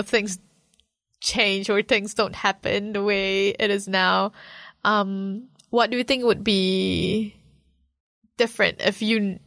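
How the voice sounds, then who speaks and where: A woman interviewing someone in a relaxed conversation, softly encouraging and often murmuring acknowledgements. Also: mid-range voice, no room sound. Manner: soft-spoken, encouraging with frequent murmured acknowledgements.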